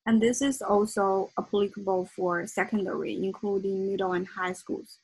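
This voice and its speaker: Woman, husky voice. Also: medium pitch, fairly even intonation, moderate pace, a little mellow, rough recording. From China. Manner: frequent ums and self-corrections. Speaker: lecturer. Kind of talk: academic presentation.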